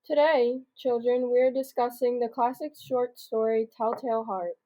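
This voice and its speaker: A woman's voice: a little high, fairly even intonation, normal speed, warm tone, close to an everyday voice, low-quality recording. A girl of about twelve playing a patient, mildly reproving schoolteacher in a comedic radio drama. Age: child about twelve.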